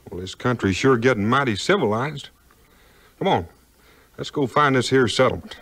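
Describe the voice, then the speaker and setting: A man's voice: mid-range voice, lively intonation, moderate pace, creaky, a bit bright. An actor playing an easygoing, honest frontiersman with a folksy Southern US drawl in a 1950s record drama. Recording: 1950s vinyl record, music behind.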